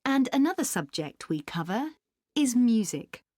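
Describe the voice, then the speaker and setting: A woman playing a helpful country park staff member in a scripted dialogue, explaining things deliberately. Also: fairly high pitch, big pitch swings, warm tone, dry recording, studio quality. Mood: helpful, informative. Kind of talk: scripted listening-test dialogue.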